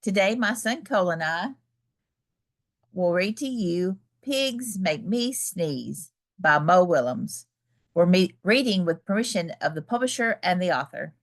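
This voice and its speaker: A woman's voice: lowish voice, lively intonation, measured pace. A woman hosting a children's read-aloud, giving a scripted welcome. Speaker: library director, read-aloud host.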